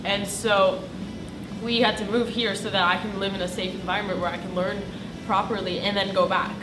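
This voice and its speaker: A woman in her late teens, a high school student, answering casually in an interview. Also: little pitch movement, brisk, music in the background. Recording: echoey noisy room, distant mic.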